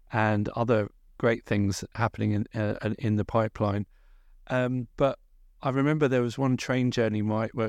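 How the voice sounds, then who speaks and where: Low gravelly man hosting an interview. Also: a little mellow, dry recording, clean studio sound. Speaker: interview host. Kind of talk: conversational interview.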